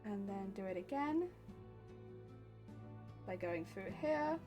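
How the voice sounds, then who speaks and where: A woman's voice: mid-range voice, expressive, slow pace, over music. A woman chatting casually on a livestream, explaining her sewing steps as she works and laughing often. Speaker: cosplay crafting streamer.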